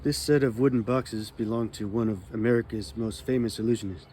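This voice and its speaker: A man hosting his own channel, reading magic history from a book aloud in a calm, relaxed manner. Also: fairly low pitch, brisk, a little hiss or hum. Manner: calm, relaxed delivery meant to soothe. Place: California.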